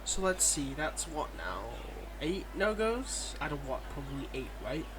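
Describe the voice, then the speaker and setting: Higher-voiced cocky, flirty villain acted by a man. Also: big pitch swings, a little slow, noisy background. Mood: smug, teasing. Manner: smug, teasing, coaxing and mock-defensive. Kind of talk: casual audio roleplay addressed to the listener.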